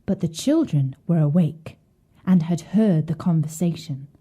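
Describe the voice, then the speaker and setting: A woman reading a scripted children's bedtime story in a measured, expressive way. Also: deep voice, husky.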